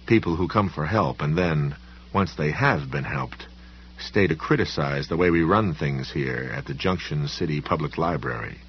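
Audiobook role: man playing a smooth, dismissive woman librarian, courteous with menace underneath, swooping intonation, faint hum behind. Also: a bass voice, lively intonation, normal speed, dull audio, voice pushed down. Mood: coolly condescending. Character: polite, condescending, quietly menacing woman librarian.

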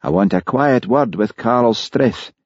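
A man voicing an exasperated, stubborn, grumbling Highland policeman in an audiobook. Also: slow, no room sound, lo-fi sound. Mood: brisk, probing. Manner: blunt, grumbling. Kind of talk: audiobook character acting.

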